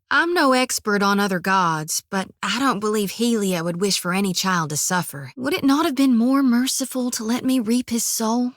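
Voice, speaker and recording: A woman's voice: fairly high pitch, fairly bright tone, no echo, close to an everyday voice. An actress voicing a devout young woman priest in a fantasy game, talking in an earnest, folksy way. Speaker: companion character in a fantasy game. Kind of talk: video game voice acting. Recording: dry studio.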